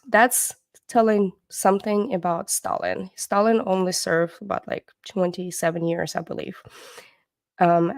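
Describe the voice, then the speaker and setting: A woman with a low, gravelly voice, Russian her first language, talks to her stream viewers in a rambling, halting way. She restarts her sentences often and fills the gaps with hesitations. The sound is dry and close, with no room around it. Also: darkish tone, no echo. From born in the USSR, Ukrainian background. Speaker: livestream host. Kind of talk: casual livestream commentary.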